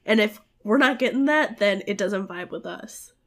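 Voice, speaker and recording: A woman with a cold chats on her podcast, her voice stuffy and nasal. She talks in a frank, playful way, quick to laugh. Her pitch sits in the middle range. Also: expressive. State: congested with a cold. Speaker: podcast co-host. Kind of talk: casual chat. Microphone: home recording mic.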